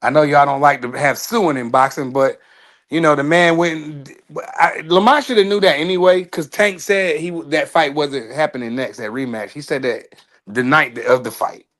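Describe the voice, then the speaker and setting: A man's voice: on the high side, normal speed, fairly bright tone. Rambling man in casual boxing chat. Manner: loose, rambling, trails off with laughs. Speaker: co-host.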